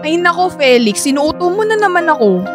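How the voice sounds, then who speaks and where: A woman's voice: extremely high pitch, sing-song, fairly quick, over music. An actress playing a sweet, playful young girlfriend with a girlish, coaxing way of talking in a radio romance drama. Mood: playful, teasing. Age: young adult.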